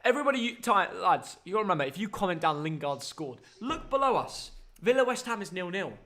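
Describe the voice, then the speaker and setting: Fast-talking young English man. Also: high voice, very animated, bright, forceful tone. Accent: London English. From North Yorkshire, England. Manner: quick banter, teasing, reads donations aloud. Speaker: football reaction streamer. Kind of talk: live football watchalong chat and commentary.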